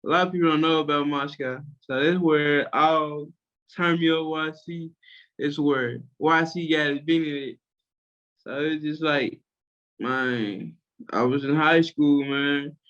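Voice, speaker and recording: Laid-back Southern producer, slightly high voice. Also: male voice, steady tone, measured pace, fairly bright tone, highs cut off. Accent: Memphis-area Southern US. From Tennessee, USA. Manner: laid-back, slangy, rambling with many fillers. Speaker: music producer guest. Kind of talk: casual interview chat.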